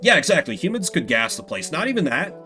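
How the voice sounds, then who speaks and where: A man's voice: on the high side, very animated, fast talker, bright, forceful tone, soft background music. A man giving casual, chatty commentary as a video game streamer. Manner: chatty, informal.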